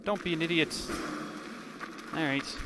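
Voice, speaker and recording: A man's voice: fairly high pitch, measured pace. A man giving casual video game commentary in a hesitant, rambling, wry way. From Canada. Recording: very noisy game sound behind commentary.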